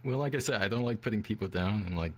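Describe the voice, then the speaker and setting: A man with a deep, smooth voice, speaking modestly and reflectively as an eliminated contestant in a casual online interview on a desktop mic. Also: fast talker. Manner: soft-spoken, reflective, modest.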